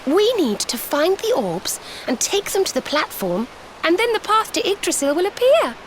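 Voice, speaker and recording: An actress voicing a gentle young female healer in a video game, speaking softly and earnestly. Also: very high voice, sing-song, moderate pace, slight background noise. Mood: wondering.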